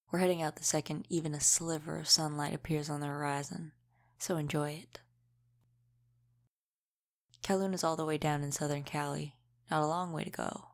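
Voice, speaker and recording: Woman acting as an ancient vampire lady, low voice, guarded n wry, talking right to you in an audio rp. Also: gravelly. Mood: wry, matter-of-fact. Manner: measured, matter-of-fact, lightly wry. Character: centuries-old female vampire, world-weary, guarded mentor. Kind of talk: scripted audio roleplay, addressed to the listener.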